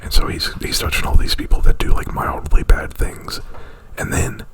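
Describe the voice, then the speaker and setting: A man doing casual ASMR, whispering breathily right up at the microphone in a rambling, informal retelling. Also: normal speed. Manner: rambling, informal, with frequent "like" and profanity. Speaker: ASMR performer. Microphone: very close to the microphone.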